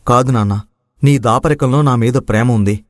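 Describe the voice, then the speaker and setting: A man's voice: mid-range voice, normal speed, no echo, studio quality, lightly acted. A man playing an earnest, respectful, devoted young son who farms, in a dramatized moral story. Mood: heartfelt. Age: young adult.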